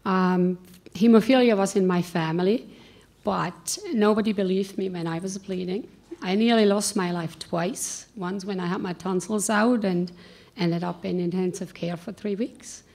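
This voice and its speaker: A woman's voice: mid-range voice, steady tone, normal speed, a little mellow. A woman from the United States making an earnest, insistent comment from the audience at a conference panel, speaking into a handheld microphone in a large hall. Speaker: audience member asking a question. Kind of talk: spontaneous comment from the floor.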